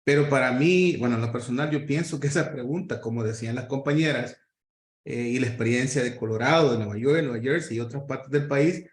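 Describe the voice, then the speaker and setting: A man speaking earnestly in a community workshop discussion, pausing often with fillers and restarts. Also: medium pitch, echoey room.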